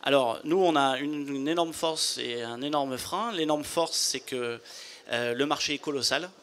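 A man in his forties, a company founder, explaining his business in a measured way into a handheld mic at a trade fair studio booth. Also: mid-range voice, a bit bright.

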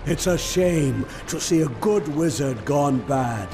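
An actor playing a stern, weary older jailer in a fantasy game, gruff in manner. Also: male voice, a little high, lively intonation, slow pace. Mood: regretful. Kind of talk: scripted fantasy game acting. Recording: music under the voice.

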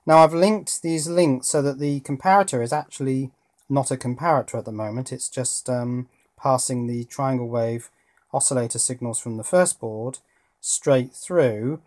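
A man's voice: medium pitch, normal speed, darkish tone. A man giving a casual hands-on electronics demonstration, thinking aloud a little hesitantly as he goes. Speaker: electronics hobbyist presenter. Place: indoors at a workbench.

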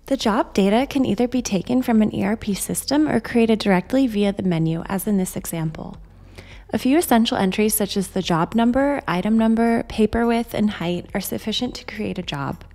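A woman reading a scripted software demonstration in a measured, instructional way. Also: mid-range voice. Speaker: software demo narrator. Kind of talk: scripted tutorial narration. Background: background music.

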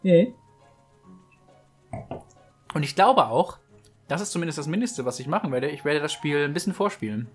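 A man's voice: on the high side, lively intonation, moderate pace, long pauses, breathy edge, soft background music. A man chatting casually while playing a video game, rambling and self-mocking with frequent laughs. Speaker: let's play co-host. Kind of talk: casual gaming chat.

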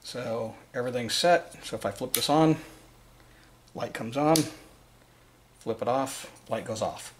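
A man's voice: mid-range voice, unhurried, long pauses, a little hiss or hum. Man giving a casual hands-on tutorial. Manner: informal, unscripted, explanatory. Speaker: presenter of a DIY wiring tutorial. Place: indoors at a work table in a room.

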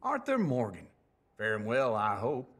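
Old cowboy layabout, grumbling, folksy Southern American drawl, slow, swinging pitch, video game outlaw. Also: male voice, wildly swooping pitch, fairly bright tone, exaggerated voice. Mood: weary. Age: older. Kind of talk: video game character greetings.

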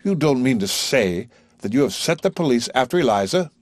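A man's voice: mid-range voice, very animated, fairly quick, darkish tone, no room sound, voice pushed up. A man voicing a composed, drily reproving older woman. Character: calm, dry-witted older mother, composed.

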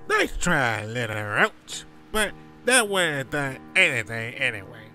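A man's voice: medium pitch, sing-song, unhurried, pushed and bright, faint music behind, full character voice. A man playing a small, cruel, gloating winged demon in an audio drama, taunting and cackling. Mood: mocking menace.

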